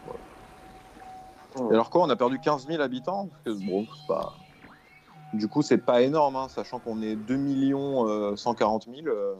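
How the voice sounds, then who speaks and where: A man's voice: medium pitch, normal speed, darkish tone, muffled recording. A man with a Parisian accent chatting on a night radio show, hesitant and full of fillers. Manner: hesitant, many fillers and restarts. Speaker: radio panelist. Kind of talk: casual radio chat. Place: radio studio.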